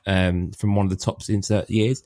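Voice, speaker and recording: A man's voice: low voice, little pitch movement, moderate pace, a little mellow, no room sound. A man co-hosting a podcast, talking casually and rambling through a list with lots of ums. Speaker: podcast co-host. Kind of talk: casual chat.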